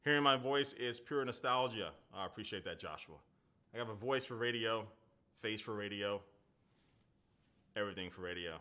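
A man's voice: on the low side, normal speed, long pauses, sharp tone. A man in his thirties hosting a casual live-stream phone unboxing, chatting loosely with viewers and reading their comments aloud. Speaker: tech reviewer. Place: indoor home studio at a desk.

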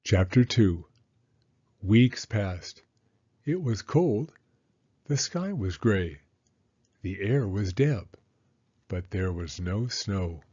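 A man narrating a children's read-aloud story in an expressive, deliberate manner. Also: on the low side, husky, lo-fi sound.

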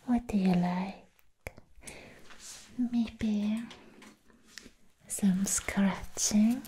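A woman playing a sweet, affectionate vampire in an ASMR roleplay, whispering softly and soothingly close to the mic. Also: mid-range voice, slight background noise, poor audio. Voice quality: soft, breathy whisper. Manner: slow, soothing, gently repeating words. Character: sweet, affectionate young female vampire who likes humans. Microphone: close ASMR microphone.

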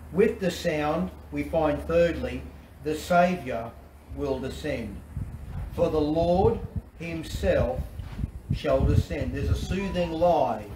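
A middle-aged man with an Australian accent, preaching an animated sermon from a covered outdoor deck, with repetitions and restarts. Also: fairly high pitch, a little hiss or hum. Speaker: pastor. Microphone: far camera. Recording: roomy and distant with faint ambience.

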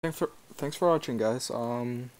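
A man narrates a screen-recorded how-to in a casual, unpolished way. His voice sits at an ordinary middle pitch. He explains things step by step, halting now and then as he finds his words. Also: clean tone. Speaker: tutorial maker.

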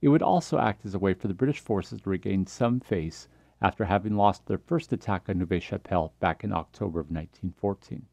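A man's voice: low voice, fairly quick, vocal fry, warm tone, dry recording. A man reading a scripted history documentary narration as the narrator.

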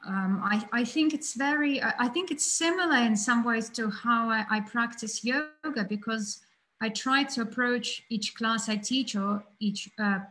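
A woman from Ukraine speaks as a poet in a live online interview. Her talk is reflective and a little hesitant, with soft laughs between thoughts. Her voice is picked up by a video call mic. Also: fairly high pitch, measured pace, poor audio. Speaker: poet and yoga teacher.

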